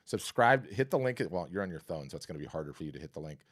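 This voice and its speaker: A man hosting a live art business workshop and answering questions, talking in an energetic, conversational, salesy way with a scratchy, hoarse voice. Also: low-pitched, fast. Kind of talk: live webinar talk and Q&A.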